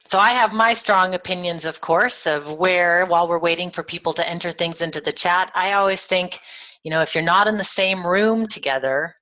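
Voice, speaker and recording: A woman's voice: on the low side, normal speed, pushed and bright. A woman from Germany hosting a webinar over a video call, upbeat and conversational. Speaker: webinar host. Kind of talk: webinar presenting. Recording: slightly muffled video call audio.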